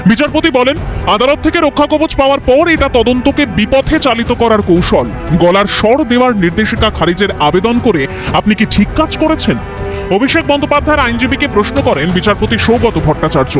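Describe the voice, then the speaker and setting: A man's voice: extremely high pitch, expressive, quick pace. A man reading a scripted news voice-over as narrator. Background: music under the voice.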